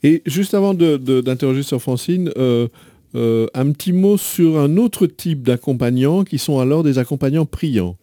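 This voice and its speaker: A man hosting a radio interview, asking questions in halting phrases with repeated words. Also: medium pitch, animated, dark tone, no echo, clean studio sound.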